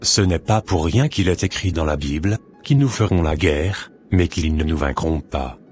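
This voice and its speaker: Man voicing a deep-voiced preaching church brother. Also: animated, faint music behind. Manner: slow, sermonizing, gently admonishing. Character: Brother David, a calm preaching church elder.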